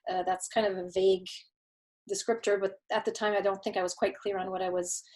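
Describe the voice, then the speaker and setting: Middle-aged woman, thoughtful and halting. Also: mid-range voice, level tone, darkish tone. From Canada, Quebec. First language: German. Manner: thoughtful, many false starts and filler words. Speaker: guest expert. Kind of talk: conversational interview answers.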